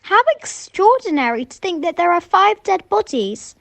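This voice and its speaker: A young woman playing a frightened, high-strung woman in an amateur radio murder mystery, with a dramatic, stagey delivery. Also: very animated, normal speed, creaky, no room sound, full character voice. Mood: calmer, reflective. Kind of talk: amateur radio play acting.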